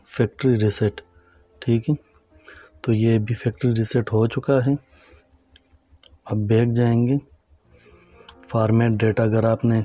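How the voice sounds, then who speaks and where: A man with a low, level voice narrates a gadget tutorial in a calm, instructive way. His tone is mellow and unhurried. The recording sounds dull and lo-fi, with soft music in the background. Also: dry recording. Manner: calm, instructive, addresses viewers as friends. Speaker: tech tutorial host. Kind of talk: step-by-step phone tutorial narration.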